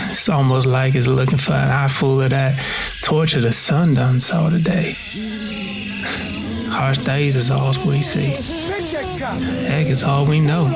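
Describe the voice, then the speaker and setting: Weary eldest son in slavery-era radio play, played by a man: Southern, mid-pitched, brooding, bitter yet dutiful. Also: lightly acted, rough recording. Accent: Southern American. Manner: folksy, brooding. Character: weary, embittered enslaved eldest son, dutiful to his mother. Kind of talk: audio drama acting, monologue and dialogue.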